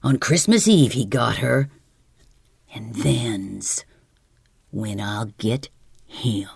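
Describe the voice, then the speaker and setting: A woman's voice: extremely low pitch, animated, very slow, vocal fry, husky, no room sound, pitched below the performer's own voice, full character voice. A woman reading the part of a cold, grim young male outlaw who speaks in a mild drawl. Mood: brooding.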